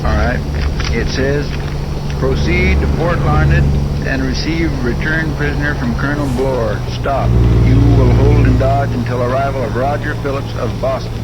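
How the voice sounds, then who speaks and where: An actor playing an eager, deferential marshal's sidekick in a 1950s radio western, folksy and inquisitive, with an American accent. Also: male voice, mid-range voice, normal speed, muffled recording, lightly acted. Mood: reading haltingly. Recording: old radio broadcast under loud engine noise. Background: loud truck engine and road noise.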